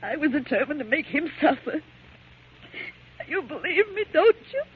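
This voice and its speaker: An actress playing an anguished, emotional young woman, halting and impassioned, in 1940s radio melodrama style. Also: high-pitched, sing-song, a little hiss or hum. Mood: desperate confession. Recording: muffled 1940s radio recording.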